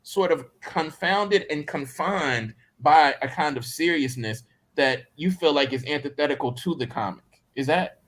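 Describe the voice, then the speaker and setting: A man's voice: on the high side, a little slow, fairly bright tone. A man from Mississippi with a Southern American accent, talking casually and reflectively as an interviewer, circling back over phrases as he builds a thought. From Mississippi, United States. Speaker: interviewer, writer in conversation.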